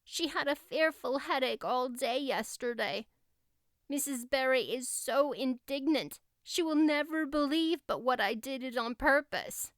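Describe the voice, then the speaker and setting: A high, clear voice of an earnest, heartbroken young girl, played by a woman narrator. She speaks with plaintive drama and effusive feeling, as a storybook heroine in an audiobook. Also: measured pace, dry recording. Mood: earnest, upset. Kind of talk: audiobook character acting.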